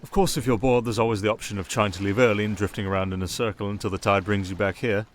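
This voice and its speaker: A man with a British accent playing a sardonic, softly dangerous male siren in an ASMR roleplay, with dry, theatrical sarcasm. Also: lowish voice, steady tone, quick pace, slight background noise, lightly acted. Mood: teasing, sardonic.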